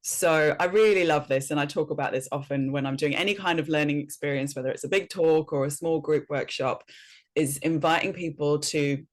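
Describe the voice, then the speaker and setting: A woman's voice: low-pitched, brisk. A middle-aged woman from Wales with a light Welsh accent, chatting as an interview guest. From small town in north Wales. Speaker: guest, educator and author. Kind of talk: relaxed interview conversation.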